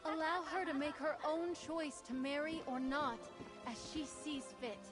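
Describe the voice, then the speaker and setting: A woman's voice: high-pitched, steady tone, measured pace, music in the background. An actress voicing a nervous, earnest young female knight aspirant in a fantasy video game.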